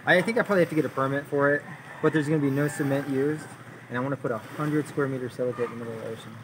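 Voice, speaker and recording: A man's voice: mid-range voice, very fast. A man in his thirties chatting casually and informally, in a laid-back way. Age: 30s. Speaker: homeowner showing his building project. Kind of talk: casual chat. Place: outdoors, unfinished block building by the sea. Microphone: camera a couple of metres away. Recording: noisy outdoor recording, ambient background noise.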